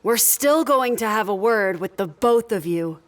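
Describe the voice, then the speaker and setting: A woman's voice: mid-range voice, expressive, unhurried, lightly acted. A stern female crew leader in a sci-fi audio drama, acted by a woman. She talks in a firm, commanding way and gets straight to the point, with a mid-range voice. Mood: decisive.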